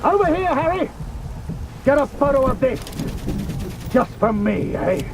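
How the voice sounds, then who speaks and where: High-pitched excitable soldier. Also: male voice, measured pace, noisy background, exaggerated voice. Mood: urgent, excited. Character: excitable male Allied soldier in battle. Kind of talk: shouted game battle dialogue. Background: music, rain.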